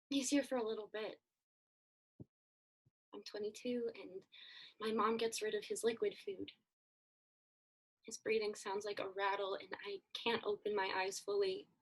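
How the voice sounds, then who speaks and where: A woman playing a grieving young woman in a monologue read over a video call, swinging from raw outbursts to hushed whispers. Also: medium pitch, low-quality recording. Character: anguished young woman Greta, grieving her dying father.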